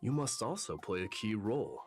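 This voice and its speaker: A man's voice: on the low side, sing-song, moderate pace. A man voicing a proud, stern warrior prince in a video game, speaking formally. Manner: formal, stately. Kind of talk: video game character performance. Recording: music playing behind the voice.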